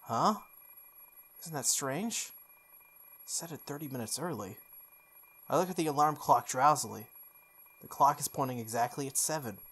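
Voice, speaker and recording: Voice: medium pitch, moderate pace, long pauses, fairly bright tone, husky. A game playthrough host expressively reading story text aloud, stumbling and correcting along the way, with off-the-cuff asides.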